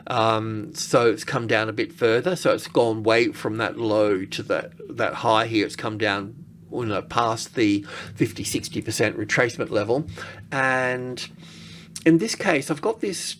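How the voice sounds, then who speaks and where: A man giving casual, unscripted screen-share commentary as an analyst, halting and thinking aloud as he goes. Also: medium pitch, a bit bright, a little hiss or hum. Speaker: market analyst presenting.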